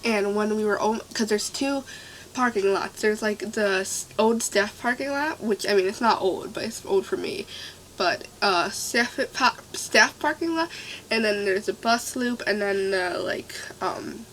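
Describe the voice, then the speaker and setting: A young woman with a stuffy nose telling a scary story to her camera, rambling and excitable with lots of fillers. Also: medium pitch, unhurried, a little hiss or hum, low-quality recording. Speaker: vlogger. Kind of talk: casual storytime.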